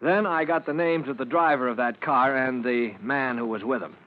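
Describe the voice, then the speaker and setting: An actor playing an earnest young firefighter who reports respectfully and a little hesitantly in an old radio drama. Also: male voice, mid-range voice, normal speed, lightly acted. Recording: muffled old radio recording.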